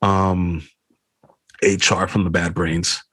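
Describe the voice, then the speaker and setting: A man's voice: deep voice, little pitch movement, slow pace, fairly bright tone, breathy edge, dry recording. A man, a band frontman, talking casually in an interview over a video call, rambling with lots of fillers and swearing. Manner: rambling, swears a lot, many fillers like "you know what I mean".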